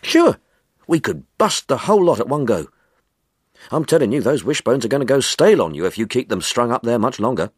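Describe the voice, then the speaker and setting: Brash young working man, impatient and cheeky, lifted pitch with big swings, close dry sound performed by a male storyteller. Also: very animated, fairly quick, husky, no echo. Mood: brash, coaxing. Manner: sharp, pushy, colloquial.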